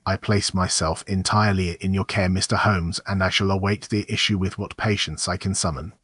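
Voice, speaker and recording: A man's voice: sits low, steady tone, fairly quick, fairly bright tone, no echo, clean studio sound. A man playing a dignified, anxious Victorian diplomat in an audiobook drama, stiffly formal and polite. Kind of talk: dramatised audiobook character acting.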